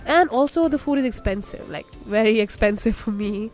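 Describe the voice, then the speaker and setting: A woman's voice: a little high, expressive, moderate pace, darkish tone, soft background music. A young woman from India, a student talking candidly about what she eats. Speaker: interviewee, student.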